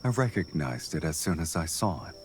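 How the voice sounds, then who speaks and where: A man playing a suave, self-assured love interest in game dialogue, speaking in a measured, dryly teasing way. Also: very deep voice, sing-song. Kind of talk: scripted dubbed game dialogue. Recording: music behind the voice.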